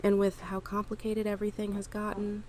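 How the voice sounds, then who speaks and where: A woman playing a caring, practical, quietly firm survivor in an audio drama. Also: mid-range voice, completely flat delivery, brisk, close to an everyday voice. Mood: gentle, resigned. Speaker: voice actor in a fan audio drama. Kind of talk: conversational audio drama acting. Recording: clear background noise, ambience.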